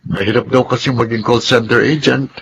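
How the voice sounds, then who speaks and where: A man acting in a Filipino radio drama as a gentle, resigned middle-aged father. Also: mid-range voice, steady tone, a little fast, slight background noise, close to an everyday voice, poor audio. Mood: reassuring.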